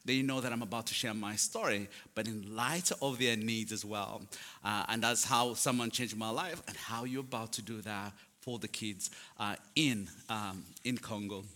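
A man's voice: lowish voice, moderate pace, bright, forceful tone. Ugandan-accented man in a reverberant hall. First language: not English; English is his fourth language. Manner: storytelling with self-deprecating humour. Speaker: guest speaker for a charity. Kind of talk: personal testimony to a congregation.

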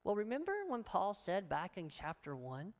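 A woman's voice: lowish voice, very animated, moderate pace. A woman pastor with a Southern accent, preaching a sermon in an earnest, emphatic way and asking many rhetorical questions. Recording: long hall reverb.

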